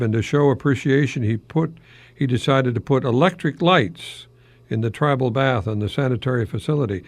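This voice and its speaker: Older american guy doing folksy radio chat, gravelly and unhurried, rambles and hesitates, dry close sound. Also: mid-range voice, no room sound. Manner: chuckles often at his own remarks, halting and rambling. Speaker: radio host, longtime English professor. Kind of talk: folksy solo radio talk.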